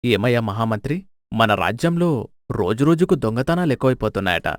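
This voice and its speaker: A man's voice: mid-range voice, expressive, brisk, dry recording, studio quality, lightly acted. A man voicing a stern, exasperated king with a commanding, scornful manner in a dramatised folk tale. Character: stern, exasperated king scolding his ministers.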